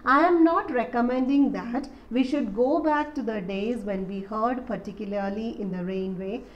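A woman's voice: on the high side, normal speed, highs cut off. A woman, teaching a college lesson and explaining an essay step by step with many fillers. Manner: explanatory, repeats points with fillers. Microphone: voice-over on slides.

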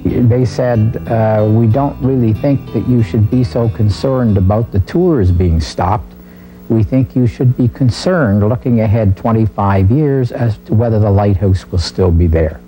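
Older man, relaxed low voice. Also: unhurried, faint music behind, rough recording. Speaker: interviewee, committee vice chairman. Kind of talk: interview recollection. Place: indoor room. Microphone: lapel mic.

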